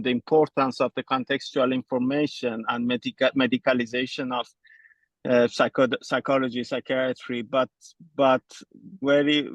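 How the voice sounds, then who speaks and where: A man from Iran asking a question during a webinar, speaking haltingly with many restarts while out walking on his phone. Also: mid-range voice, fairly bright tone, no room sound, low-quality recording. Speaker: audience member.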